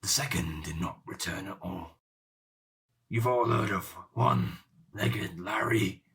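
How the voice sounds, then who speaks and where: Aging pirate captain: very low voice with a bright, forceful edge, grave theatrical storyteller, boastful, archaic seafaring talk. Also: male voice, slow pace, slightly rough, heavily acted. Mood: ominous. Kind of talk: audio drama storytelling.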